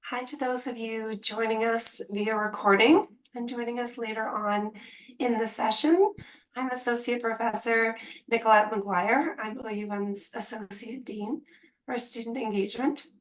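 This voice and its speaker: A woman's voice: on the high side, steady tone, unhurried, low-quality recording. A woman who has lost much of her voice, hosting an online information session as an associate dean and enunciating carefully over a video call. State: losing her voice.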